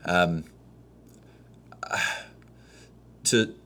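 A man's voice: low-pitched, steady tone, very slow, sharp tone. A man hosting an interview, asking long, searching questions with frequent restarts. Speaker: podcast host. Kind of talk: conversational podcast interview.

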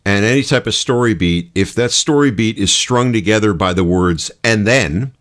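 A man's voice: fairly low pitch, normal speed, fairly bright tone. A man talking casually and conversationally as co-host of a show about writing.

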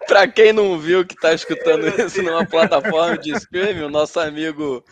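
A man's voice: a little high, normal speed, a crowd in the background. A man with a Brazilian accent talking casually and reflectively in a group discussion.

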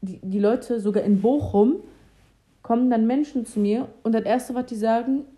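Young woman with a very dark, mellow voice. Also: fairly high pitch, normal speed. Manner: relaxed, slangy, self-deprecating. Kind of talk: casual conversation.

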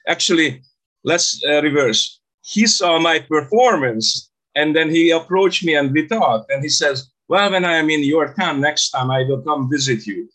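A man's voice: on the high side, animated, sharp tone, low-quality recording. Older man with a Hungarian accent. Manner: halting, non-native phrasing. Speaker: interview guest, stage hypnotist. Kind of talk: casual storytelling about own career.

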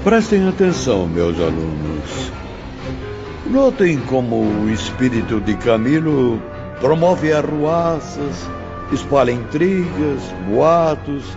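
A man acting as a solemn, commanding spiritual teacher in a radio drama, declaiming grand exhortations with a gravelly voice. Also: medium pitch, heavily acted. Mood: grave lecturing. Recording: muffled audio with music behind.

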